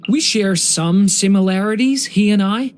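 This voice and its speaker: An actor voicing a gentle, earnest young dragon boy in a video game, speaking with soft-hearted wonder and care. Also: medium pitch, normal speed, clean tone, lightly acted. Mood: admiring, musing. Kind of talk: scripted game character voice lines.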